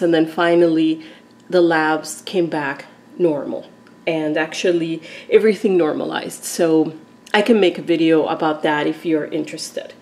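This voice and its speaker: A woman's voice: fairly low pitch, a little mellow. A woman from Argentina talking through a recipe step by step as she cooks in her home kitchen. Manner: chatty, explains steps as she cooks. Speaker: cooking vlogger. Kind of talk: casual cooking demonstration.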